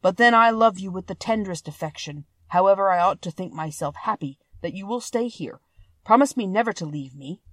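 Woman voicing a humble, mournful monster, loud and earnest. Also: mid-range voice, lively intonation, fairly quick, dry recording. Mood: mournful, tender. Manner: emphatic, earnest, courtly. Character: humble, mournful, gentle-hearted male monster beast. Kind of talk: storybook character voice.

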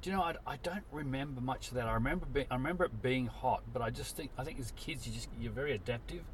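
A man's voice: mid-range voice, quick pace. A man in his sixties, born in Scotland, telling rambling stories with self-deprecating humour as an interview guest, recorded outdoors by a road. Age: 60s. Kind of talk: casual storytelling chat. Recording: distant mic with street noise.

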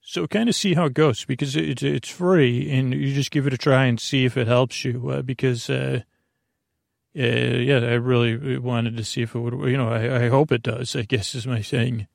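Man, fry-heavy voice, close dry sound, halting delivery, wandering self-interrupting monologue, bedtime podcast host. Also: medium pitch, steady tone, no room sound. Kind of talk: rambling bedtime monologue to lull listeners to sleep.

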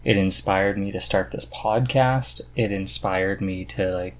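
A man's voice: sits low, measured pace. A man hosting a casual podcast conversation, speaking in an earnest, enthusiastic way. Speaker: podcast host.

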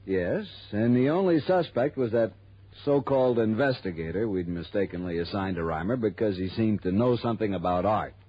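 Low, husky insurance office man. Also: moderate pace. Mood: suspicious, explaining. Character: businesslike, suspicious male insurance office man. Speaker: supporting actor. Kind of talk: 1960s radio drama acting. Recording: dull old radio broadcast recording.

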